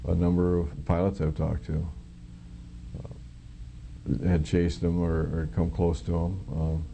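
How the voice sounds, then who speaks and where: A middle-aged American man speaks in a very low, heavily fry-laden voice with little melodic movement. He gives plain-spoken, halting testimony as a former military witness in an interview. Clear instrumental music plays behind him. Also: completely flat delivery, mellow, slight background noise. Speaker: former military air traffic controller, witness.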